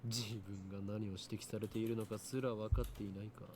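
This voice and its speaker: Man playing a fast, pompous young mad scientist. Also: lowish voice, fairly even intonation, background noise, lightly acted. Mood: grandiose, condescending. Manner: theatrical, pompous, taunting. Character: arrogant, grandiose young male self-styled mad scientist. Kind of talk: Japanese visual novel voice acting.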